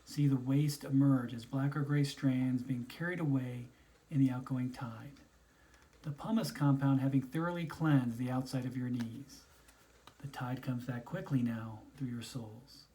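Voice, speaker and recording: Male guided-imagery narrator. Dark, relaxed tone, soothing instructional read with spaced phrases. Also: mid-range voice, poor audio. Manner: slow, soothing, instructional delivery with pauses between phrases. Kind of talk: guided meditation, scripted narration.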